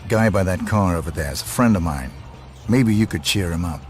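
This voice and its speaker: A man playing a cold, terse professional assassin in a video game, speaking in a deadpan way. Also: sits low, a little fast, over music. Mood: cool, controlled.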